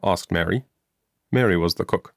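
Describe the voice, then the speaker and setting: A man's voice: sits low, normal speed, gravelly, no echo, studio quality. A man narrating a children's moral story as an audiobook, reading earnestly and expressively from a script.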